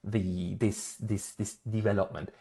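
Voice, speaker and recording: A man's voice: lowish voice, flat delivery, takes it slowly, vocal fry, warm tone. A man giving informal political commentary as a host, talking through his analysis of the news.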